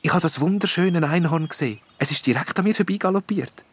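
A man's voice: a little high, fast, slightly rough, voice pushed up. A man voicing a cheeky young court jester who jokes and dodges questions, in a children's audio story. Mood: sly. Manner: light, joking, sly.

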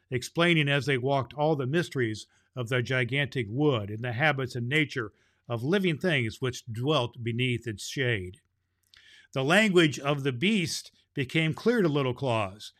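A man hosting as Santa Claus, reading a children's storybook aloud in a gentle, jolly way and sometimes stumbling over words. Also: medium pitch.

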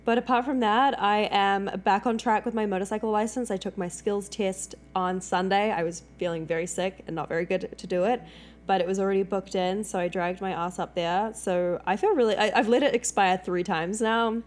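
A woman co-hosting a podcast, chatting in a relaxed, rambling way while she is still recovering from a cold. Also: mid-range voice, brisk. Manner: relaxed, rambling, swears casually. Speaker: podcast co-host. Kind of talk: casual chat.

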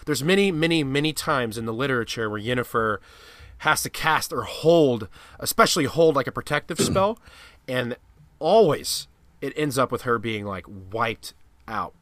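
Male podcast co-host, congested, crisp bright tone, dry booth sound, lore-explainer energy. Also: mid-range voice, expressive, moderate pace, no echo. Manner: jokey, explains lore at length. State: stuffy nose. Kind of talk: casual chat.

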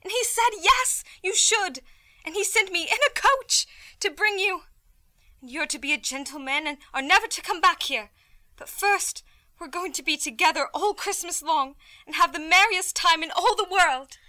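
A woman's voice: very high voice, moderate pace, sharp tone. An actress playing an affectionate, eager little sister, a young girl who gushes earnestly in a radio play. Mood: joyful, tender. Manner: earnest, gushing. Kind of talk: theatrical radio play acting.

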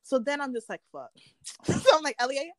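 A young woman tells a story in a loose, slang-filled way and acts out the lines people said. Her voice sits fairly high. The recording is dry and close, with no room sound. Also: very animated, normal speed, dry recording. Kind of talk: casual storytelling chat.

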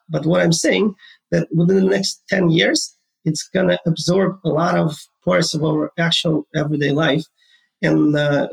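Man, Ukrainian accent, very gravelly voice, slightly high, earnest interview answers. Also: poor audio. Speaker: guest, gaming entrepreneur.

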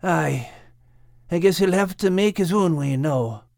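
Storybook dog played by a woman: earnest, loyal fellow, folksy, higher voice with lively intonation. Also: unhurried, creaky, no echo, clean studio sound. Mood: somber. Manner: hearty, folksy wording. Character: loyal, encouraging male dog, earnest friend.

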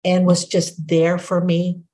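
A woman in her sixties with a Filipino accent, whose first language is Filipino, hosting a podcast and telling her story in a reflective, unhurried way. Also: on the low side, completely flat delivery, mellow. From Philippines. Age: 60s. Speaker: podcast host. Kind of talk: casual conversation.

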